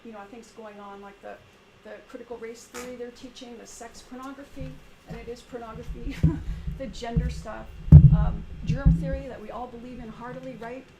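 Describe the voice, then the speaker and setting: A woman with a quiet voice leading a group discussion at a meeting, talking in a halting way with many fillers and restarts. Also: a little high, steady tone, gravelly. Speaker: meeting presenter. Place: meeting room with a small group. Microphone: shared room mic for a video stream. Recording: echoey room, background noise.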